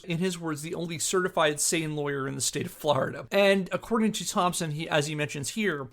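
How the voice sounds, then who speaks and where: A man's voice: a little high, creaky, fairly bright tone. A man hosting a podcast, explaining in a conversational, narrating style with asides. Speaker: podcast host and historian.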